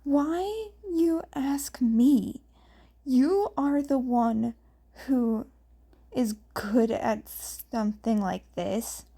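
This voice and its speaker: A woman's voice: high voice, very slow, dark tone, husky, heavily acted. A woman reading the part of a casual, teasing young boy twin in a story.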